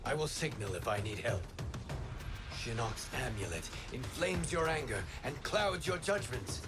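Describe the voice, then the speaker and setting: A calm, earnest god, acted by a man in a video game story scene. His voice sits at a medium pitch, measured and persuasive, with formal wording. Music runs clearly behind him. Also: natural voice. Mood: pleading. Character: calm, earnest male wind god, a reasoning brother. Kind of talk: video game cutscene acting.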